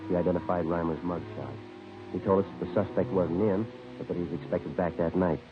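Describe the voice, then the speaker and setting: An actor playing a terse, businesslike American police detective who narrates a radio crime drama in a clipped, deadpan way. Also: male voice, deep voice, brisk, close to an everyday voice. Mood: deadpan, factual. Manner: deadpan, matter-of-fact. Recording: dull vintage radio recording with music.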